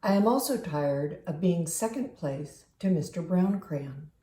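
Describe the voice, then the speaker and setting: A woman voicing a resentful, overlooked storybook crayon, grumbling deliberately about being second best. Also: fairly low pitch, animated, dark tone, distant mic. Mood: sulky. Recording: roomy, echoing home recording.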